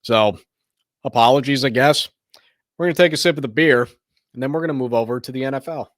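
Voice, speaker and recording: Slightly hoarse man giving sports takes. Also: medium pitch, fairly quick, no room sound, studio quality. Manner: rambling and opinionated, frequent restarts. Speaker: sports show host. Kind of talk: casual opinionated sports commentary.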